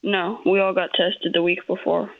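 A young man calling a radio show, speaking in short, deadpan answers with long pauses. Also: high-pitched, vocal fry. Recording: noisy muffled phone line.

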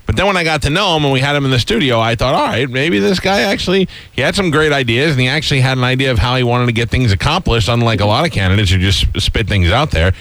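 Fast-talking, teasing American man interviewing. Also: mid-range voice, lively intonation, a bit bright. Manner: blunt, teasing, skeptical. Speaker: radio show interviewer. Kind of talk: casual, joking interview chat.

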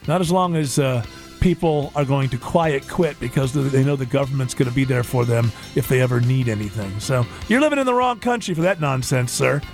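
A man's voice: medium pitch, animated, fairly quick, over music. Joking radio host man. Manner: joking and opinionated. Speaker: radio talk show host. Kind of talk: casual radio talk.

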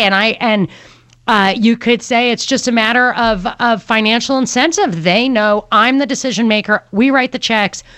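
Female talk radio host, slightly high voice, dry studio sound, opinionated and chatty. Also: a bit bright, no room sound. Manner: opinionated, conversational, thinks aloud with fillers. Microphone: studio microphone.

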